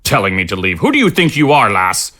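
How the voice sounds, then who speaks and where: A man's voice: on the low side, huge pitch swings, brisk, sharp tone, exaggerated voice. A man playing a proud, stubborn, blustery innkeeper with colloquial speech in a fantasy audio drama. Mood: indignant. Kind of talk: scripted audio drama acting.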